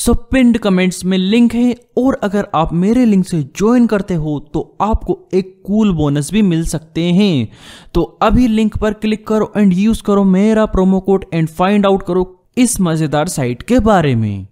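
A man with a high-pitched voice reads a sponsor message. The delivery is scripted promotional narration. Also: unhurried, warm tone. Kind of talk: scripted promotional narration, Hindi mixed with English.

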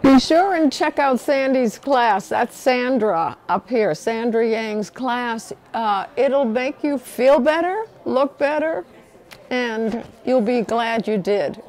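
A woman's voice: fairly high pitch, lively intonation, slow, a little mellow. Upbeat older woman TV interviewer. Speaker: community TV interviewer. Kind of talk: interview and scripted sign-off. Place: indoor library room, seated in armchair.

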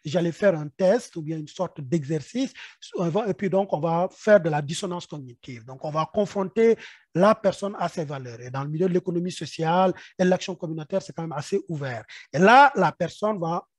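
A man's voice: on the high side, normal speed, dry recording. Give me a man, presenting a workshop over a webcam call. He keeps circling back on little words as he thinks, and the sound is dry and close. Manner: many repeated stammered words, thinking aloud. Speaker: project officer leading a workshop. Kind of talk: webinar presentation. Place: indoor room. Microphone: video call webcam.

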